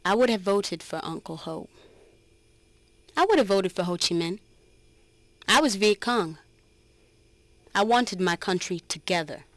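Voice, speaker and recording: A woman giving a dramatic reading as a resolute, reflective former guerrilla fighter, measured and earnest. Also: medium pitch, lively intonation, no room sound, lightly acted. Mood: resentful, frank. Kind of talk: dramatic reading of a written testimony.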